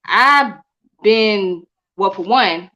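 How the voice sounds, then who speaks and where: A woman raised in Atlanta, a guest telling her story in a relaxed, repetitive, colloquial way. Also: medium pitch, very slow. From Midwest-born, raised in Atlanta. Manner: repeats herself, relaxed, colloquial. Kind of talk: casual interview storytelling.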